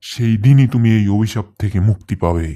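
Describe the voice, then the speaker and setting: A man's voice: lowish voice, fairly quick, warm tone, slight background noise, natural voice. A man voicing a stern, solemn water god in a cartoon folk tale. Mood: stern, condemning. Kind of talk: animated folk-tale dialogue.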